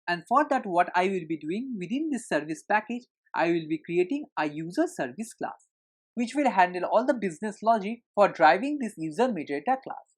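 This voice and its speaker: A woman's voice: medium pitch, lively intonation, brisk. A woman narrating a screencast tutorial as an instructor, explaining things step by step in an instructional way.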